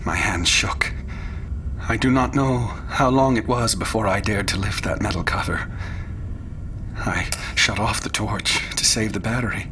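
An actor playing a haunted explorer in a radio horror drama, narrating a terrifying ordeal with intense, deliberate and sometimes hushed phrasing. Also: male voice, lowish voice. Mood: hushed dread. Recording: clear background noise and ambience.

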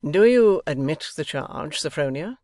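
A storybook reader voicing a smug, showy gentleman husband with a pompous, measured, smooth-talking manner. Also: mid-range voice, lively intonation, no echo, studio quality. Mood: falsely genial.

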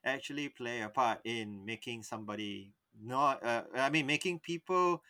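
Bright-voiced man telling a story. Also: medium pitch, normal speed, no echo. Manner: rambling, often stops and restarts mid-sentence. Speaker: podcast co-host. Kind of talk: casual storytelling chat.

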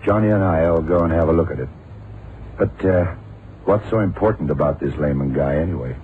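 An actor playing a shrewd, wry investigator in a radio drama, speaking in a dry, unhurried, self-assured way. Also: male voice, a bass voice, a little hiss or hum. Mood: dry, attentive. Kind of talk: 1960s-70s radio drama acting. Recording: narrow-band old radio recording with music.

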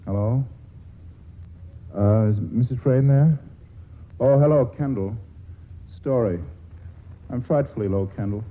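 A man's voice: medium pitch, unhurried, long pauses, lightly acted. An actor playing a cynical, witty male writer in a 1940s radio drama, talking with wry, sardonic banter. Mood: rueful. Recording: tinny old radio broadcast.

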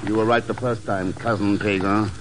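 An actor playing a cool, determined secret agent, clipped and wry, in an old-time radio drama. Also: male voice, lowish voice, level tone, moderate pace, background noise, close to an everyday voice. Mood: impatient.

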